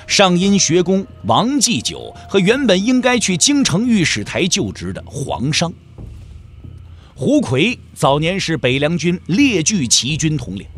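Guy narrating a wuxia story, animated swoopy intonation, bit high, music under him. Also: breathy edge. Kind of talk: scripted dramatic storytelling narration.

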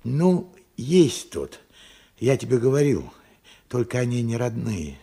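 Husky, creaky-voiced weary old veteran. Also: male voice, medium pitch, big pitch swings, slow pace, warm tone, full character voice. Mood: wry. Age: older. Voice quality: hoarse, husky, cracked. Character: weary, regretful old war veteran pleading with his younger self. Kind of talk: Soviet radio play acting.

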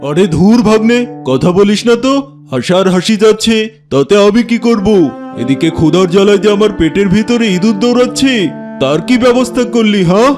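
Tiger uncle for children's animation, acted: medium pitch, bossy, grumbling, slow-witted and greedy, music underneath. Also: expressive, natural voice. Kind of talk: children's cartoon voice acting.

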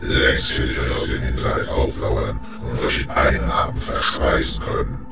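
Giant hellhound, fantasy audio drama. Extremely low, haughty threats, echoing over loud music. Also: male voice, level tone, gravelly, far from the mic. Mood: menacing. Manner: slow, threatening, formal speech. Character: menacing giant fire-breathing hellhound, irritated and haughty.

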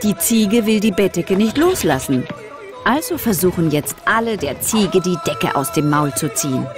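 Lady narrator for a kids story, slightly low voice, music clearly playing behind. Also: sing-song, normal speed. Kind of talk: scripted story narration for children.

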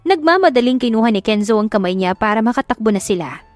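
A Filipino woman narrates a scripted love story in a fairly high voice. Her delivery is dramatic, as in an audiobook. The recording is dry, with soft music behind her. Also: animated, quick pace, dry recording.

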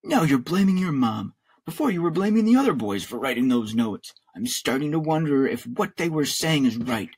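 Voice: mid-range voice, sing-song, normal speed, a little mellow, husky, voice pushed up. A man voicing a stern, exasperated father in a story reading, with a firm, reproving manner.

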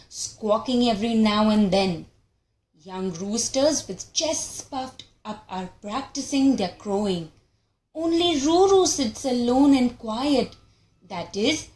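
A woman storyteller reading a picture book aloud in an expressive, animated way for young children. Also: fairly high pitch. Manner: expressive, acts out animal calls and sounds. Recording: roomy, echoey sound.

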